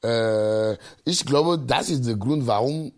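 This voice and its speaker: A man's voice: medium pitch, takes it slowly. A man talks in a mid-range voice. He explains from personal experience in plain, unscripted sentences, often repeating himself. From Africa. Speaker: interviewee reflecting on life back home.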